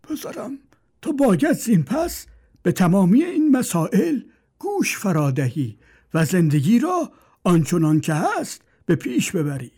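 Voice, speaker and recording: A man's voice: high-pitched, very animated, mellow, slightly rough, pitched above the performer's own voice, full character voice. A man voicing a frail old father who sighs and nags his son, in a thin, strained voice. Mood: pleading advice. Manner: sighing, preachy, quavering.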